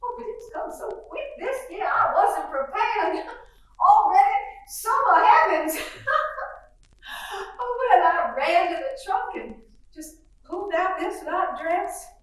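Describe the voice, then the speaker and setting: A woman's voice: very high-pitched, lively intonation, slow, exaggerated voice. An actress playing a fussy, middle-aged faded belle mother, who chatters on in a gushing, rambling way. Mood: fluttery. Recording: echoey roomy sound from far off.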